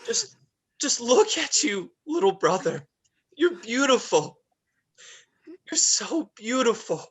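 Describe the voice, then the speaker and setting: An actor playing a protective, tender older brother in a vampire family, in a dramatized play reading with halting, repeated words. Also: male voice, very high-pitched, very animated, breathy edge, full character voice. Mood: tearful, adoring. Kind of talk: dramatized livestream script reading.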